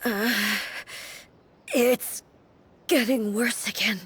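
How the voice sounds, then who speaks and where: A woman voicing a weary, solemn female deity in a video game, breathy and grave, with halting speech. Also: on the high side, fairly even intonation, fairly bright tone, a little hiss or hum. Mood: strained, weary. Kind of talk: video game character acting.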